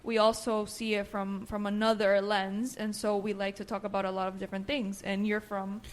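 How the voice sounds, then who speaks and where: A young woman from Nicaragua whose first language is Spanish, co-hosting a therapists' podcast in a relaxed chatty way and leaning on "you know". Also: mid-range voice, normal speed. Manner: conversational, fills with "you know" and "right". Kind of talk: casual chat.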